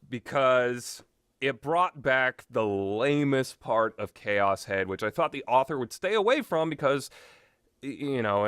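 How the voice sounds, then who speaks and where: A man's voice: medium pitch, a bit bright, clean tone, dry recording, clean studio sound. A man giving casual, rambling commentary with strong opinions and an emphatic way of talking. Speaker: video host.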